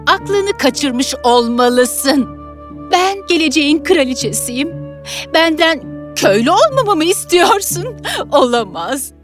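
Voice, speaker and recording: A woman's voice: high voice, big pitch swings, faint music behind, heavily acted. A woman playing a vain, rude young village girl in a children's fairy tale, speaking in a haughty, dismissive way. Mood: indignant. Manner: haughty, dismissive, drawn-out delivery.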